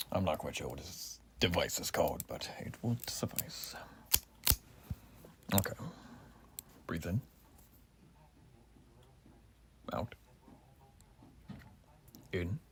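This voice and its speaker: A man playing an earnest, bitterly patriotic revolutionary soldier in a soft-spoken ASMR roleplay, polite and formal with quaint old-fashioned phrasing, his breathy voice often dropping to a whisper. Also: low voice, slight background noise, exaggerated voice. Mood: calm, attentive.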